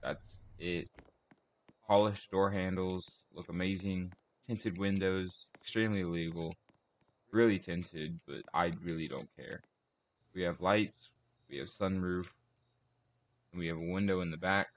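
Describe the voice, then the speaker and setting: Low-voiced man giving a casual tour. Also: fairly even intonation, measured pace, long pauses, highs cut off. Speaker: host of a car collection video channel. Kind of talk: casual video game car tour commentary.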